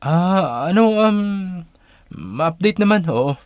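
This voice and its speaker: A man's voice: high-pitched, big pitch swings, takes it slowly, no echo, full character voice. An actor playing a nervous, evasive young friend in a radio drama, hedging and stalling in his answers.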